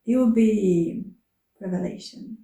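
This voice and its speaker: A woman whose first language is Romanian, narrating her travels in a soft, earnest, contemplative way. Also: medium pitch, slow, reverberant. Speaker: travel vlogger.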